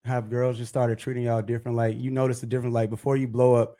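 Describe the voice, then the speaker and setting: A man with a Miami accent hosting a podcast, talking in a hyped, animated way and laughing often. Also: medium pitch, fast talker. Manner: hype, animated, laughs a lot. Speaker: podcast host. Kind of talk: casual interview chat.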